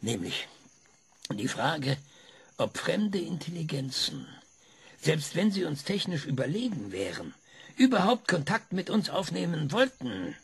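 An actor playing a sardonic former researcher in a radio play, lecturing with impatient insistence. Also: male voice, on the high side, very animated, measured pace, vocal fry, husky, heavily acted. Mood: insistent. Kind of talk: German radio play acting.

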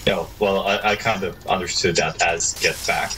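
A man's voice: deep voice, steady tone, normal speed, sharp tone, poor audio. A man chatting casually during co-op gameplay, a little hesitant and stammering as he talks. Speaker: gamer following in co-op. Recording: clear background noise on voice.

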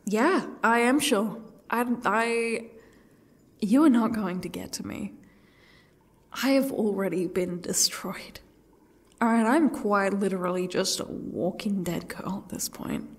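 A woman playing a defiant, broken, exhausted young captive in an ASMR roleplay, speaking haltingly and often dropping to a whisper. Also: mid-range voice, breathy edge.

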